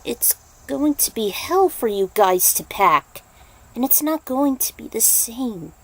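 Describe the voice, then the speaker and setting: A woman's voice: fairly high pitch, animated, takes it slowly, exaggerated voice, poor audio. A woman voicing a fretful, easily startled young woman in an amateur story reading. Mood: anxious, downcast.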